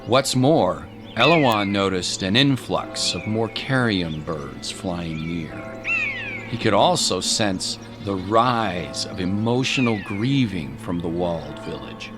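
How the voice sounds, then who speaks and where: A man narrates a dramatic tale in a fairly low voice. He is solemn and takes his time over each phrase, with music playing clearly behind him. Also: animated, takes it slowly. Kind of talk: scripted dramatic storytelling narration.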